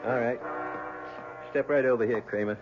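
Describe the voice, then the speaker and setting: An actor playing a curt, stern prison guard in an old-time radio drama. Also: male voice, medium pitch, fairly even intonation, moderate pace, highs cut off, over loud music, lightly acted.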